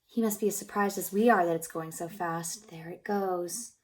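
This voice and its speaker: A woman reading a picture book aloud to young children as a childcare teacher, expressive and gently encouraging, prompting her listeners with questions and often dropping into a near-whisper. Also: fairly low pitch, moderate pace.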